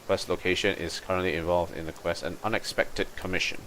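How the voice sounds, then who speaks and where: A man's voice: low-pitched, fairly even intonation, a little fast, fairly bright tone, slight background noise, low-quality recording. A man livestreaming a game with casual commentary, musing aloud and humming to himself. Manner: hums to himself, muses aloud.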